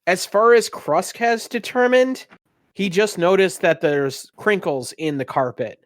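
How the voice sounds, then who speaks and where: A man's voice: high voice, measured pace, no echo. Man narrating a tabletop game, dry close sound. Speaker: game master.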